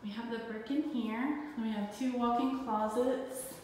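Slow-talking woman in echoey empty house. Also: fairly high pitch, steady tone, a little mellow, slight background noise. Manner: slow, plain, pausing between rooms. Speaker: parent giving a house tour. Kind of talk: casual walkthrough narration. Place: empty townhouse, indoors. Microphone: phone camera held while walking. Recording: echoey empty rooms with long reverb.

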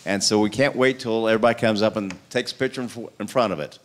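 A man's voice: fairly low pitch, fast. A middle-aged man, a regular on the show, talking up his business with salesy enthusiasm on a studio talk set. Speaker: store owner, show regular. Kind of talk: casual promotional chat. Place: diner-style TV studio set with audience. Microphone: handheld mic.

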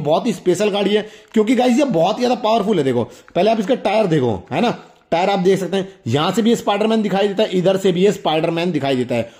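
Fast, high-pitched young man. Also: darkish tone. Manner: chatty, demonstrative, often addresses viewers. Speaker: toy review host. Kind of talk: casual hands-on toy unboxing commentary.

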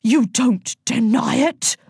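A woman voicing a huge, stern female health and safety inspector who barks and booms indignantly in a storybook reading. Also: sing-song, moderate pace, husky, no echo, studio quality, heavily acted. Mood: incredulous, indignant. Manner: booming, barking, indignant.

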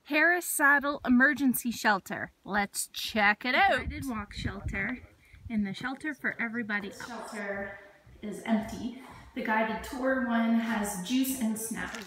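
A woman in her thirties chatting casually in short, relaxed remarks as she films a hiking vlog on a phone. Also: a little high, a little slow, low-quality recording. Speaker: hiker vlogger. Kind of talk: casual trail vlog narration to camera. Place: outdoors on mountain trail, sometimes inside hut. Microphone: handheld phone at arm's length.

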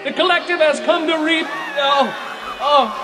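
An actor playing a soul-hungry parasitic hive creature with drawn-out theatrical menace in a radio play. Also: male voice, very high voice. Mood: pained. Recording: reverberant, music and crowd behind.

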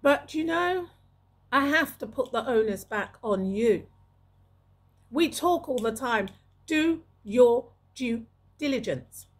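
A woman's voice: a little high, lively intonation, slow, slightly rough. A middle-aged woman talking to camera as a video host, giving emphatic advice and repeating phrases for weight, close on a studio microphone. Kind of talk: advisory talk to camera. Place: small indoor room. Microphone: large studio microphone with pop filter, close.

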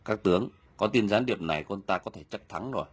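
A man voicing a stern medieval army general in an animated historical film, firm and authoritative. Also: fairly low pitch, fairly quick, slightly rough. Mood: confident.

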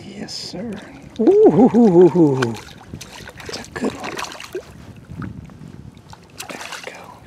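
A woman's voice: mid-range voice, wildly swooping pitch, slow pace, pauses often, warm tone, slight background noise. Folksy woman narrating her fishing trip. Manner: folksy, chats to the fish she catches. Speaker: fishing vlogger. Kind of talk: casual fishing commentary. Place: outdoors on a small lake, in a small boat.

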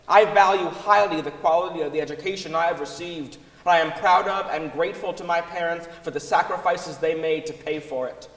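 Higher-voiced young man, echoey hall. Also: fairly bright tone. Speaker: reader in a dramatic reading. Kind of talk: staged dramatic reading. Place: large wooden concert hall stage. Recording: echoey concert hall with long reverb.

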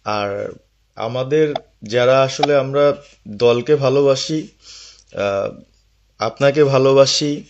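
A man's voice: medium pitch, slow pace, long pauses. A man reports to his leader on a phone call, speaking deferentially and a little hesitantly. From Bangladesh. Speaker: local party activist. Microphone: telephone.

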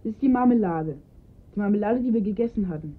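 A woman's voice: medium pitch, lively intonation, fast, lightly acted. A woman playing an observant, earnest young boy in a radio crime drama, recounting details precisely. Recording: muffled phone-like band-limited sound.

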